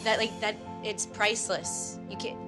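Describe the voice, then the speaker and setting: Young woman, mid pitch, casual, emphatic, loud music behind. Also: flat delivery, moderate pace. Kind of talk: casual reality TV chat. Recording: loud background music over the voice.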